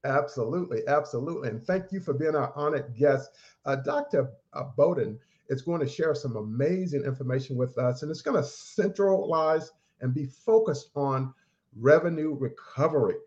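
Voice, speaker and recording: Upbeat, formal male podcast host, fairly high voice, muffled lo-fi recording. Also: normal speed, a little mellow. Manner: upbeat, formal, full of praise. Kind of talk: podcast interview hosting. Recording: dull, lo-fi sound.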